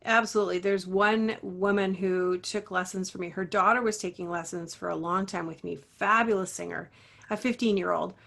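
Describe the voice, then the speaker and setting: A woman's voice: lowish voice, steady tone, normal speed, creaky, fairly bright tone. A woman voice teacher and coach, an interview guest who talks earnestly and encouragingly, with lots of casual fillers. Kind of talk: interview talk.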